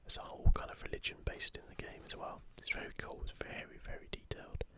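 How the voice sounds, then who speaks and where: Whispering man doing soft ASMR. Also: fast. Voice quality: soft, breathy unvoiced whisper. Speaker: gaming ASMR video creator. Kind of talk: whispered ASMR, casual commentary with passages read aloud.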